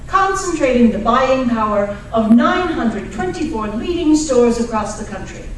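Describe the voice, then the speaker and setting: A very echoey, distant recording of a woman acting a 1940s radio announcer. Her fairly high voice is polished, friendly and salesy, as in an old sponsor's spot. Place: live performance at a radio club event. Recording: roomy, distant live stage recording with hiss.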